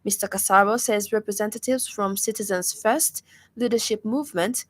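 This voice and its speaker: A woman news reader with a Zambian accent delivers a formal, scripted radio bulletin. Her voice sits at a middle pitch, and she reads in measured phrases. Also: steady tone.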